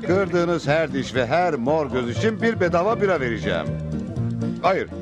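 A man's voice: on the high side, sing-song, moderate pace. A man voicing a grumpy, brawl-weary saloon bartender in a Turkish cartoon dub. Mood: rallying. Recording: music bed under the dialogue.

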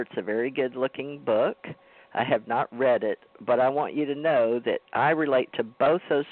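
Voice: medium pitch, narrow, tinny audio. A man hosting a casual talk show, rambling and interrupting himself as he asks a guest questions. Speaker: host.

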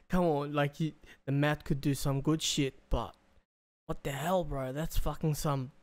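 Young Aussie male reactor, slightly high, clean resonant tone, loose sweary rambling, close desk mic. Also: a little mellow, smooth. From Australia. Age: young adult. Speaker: reaction video host. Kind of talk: casual chat, reaction commentary. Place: small bedroom, indoors. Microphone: close desk microphone.

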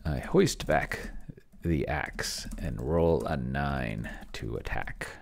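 A man's voice: a bass voice, slow, vocal fry, slight background noise. A middle-aged man giving casual livestream commentary, rambling and thinking aloud as he types. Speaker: host of a solo tabletop game video.

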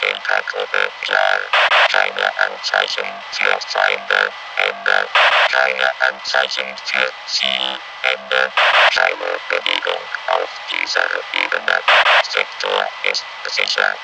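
A man's voice: rumbling low, monotone, takes it slowly, vocal fry, exaggerated voice, low-quality recording. A man voicing a cold, menacing military combat robot in a video game, giving clipped, emotionless reports through robotic processing. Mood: clipped, mechanical. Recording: loud electronic music behind the voice. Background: loud synthesizer music.

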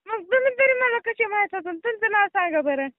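A woman with a very high voice speaks over a thin, tinny phone line. She sounds amused and suspicious as she answers an unknown caller. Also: lively intonation, a little fast, no room sound. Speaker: prank call target. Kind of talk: phone conversation. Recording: tinny phone call recording.

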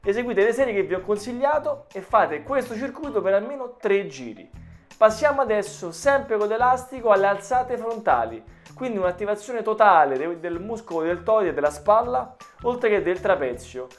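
A man's voice: sits high, lively intonation, moderate pace. A man in his thirties from Italy giving informal, encouraging exercise instruction as a personal trainer. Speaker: personal trainer and fitness channel host. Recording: music behind the voice. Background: background music.

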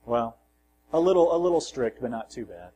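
A man preaching a sermon in a church, measured and plain, swelling on scripture and dropping softly at the ends of phrases, with self-deprecating asides. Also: mid-range voice, big pitch swings, dull audio, slight background noise. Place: church sanctuary.

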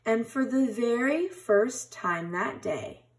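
A woman reading a children's picture book aloud in an expressive, animated storytelling manner, like a teacher. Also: a little high, takes it slowly.

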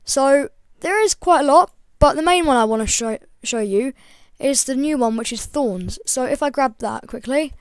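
Croaky chatty young woman gamer. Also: high-pitched, moderate pace, few pauses, no room sound. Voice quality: slightly croaky. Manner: chatty, rambling with frequent ums. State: croaky, voice breaking. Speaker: gaming channel host. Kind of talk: casual game tutorial voice-over.

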